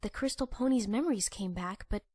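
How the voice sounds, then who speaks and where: A woman's voice: medium pitch, a little fast, warm tone, husky, no echo, lightly acted. A woman voicing an earnest, bookish young pony princess in a cartoon-style comic dub. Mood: earnest, concerned.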